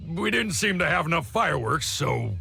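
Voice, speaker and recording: An actor playing a cocky, crude bandit boss who talks in slang and swaggers, in a game cutscene. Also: male voice, fairly high pitch, sing-song, moderate pace, vocal fry, bright, forceful tone, slight background noise, heavily acted. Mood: cornered. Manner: slangy, swaggering, stammers when caught.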